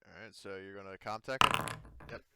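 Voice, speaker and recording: A man running a tabletop roleplaying game as game master, improvising casually with hesitant, halting phrasing. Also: sits low, fairly even intonation, bright, forceful tone, smooth.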